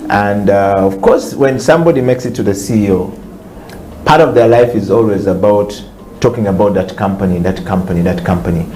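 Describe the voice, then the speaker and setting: Guy hosting a studio talk show, lowish voice, kinda slow and thoughtful, roomy sound w faint hiss. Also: warm tone. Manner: measured, repetitive and reflective. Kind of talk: studio interview.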